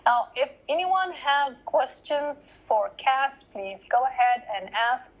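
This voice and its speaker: A woman's voice: high-pitched, slow, low-quality recording. A woman hosting a live online interview, chatty and laughing easily as she repeats directions to viewers. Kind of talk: casual live-stream hosting. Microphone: online live video call.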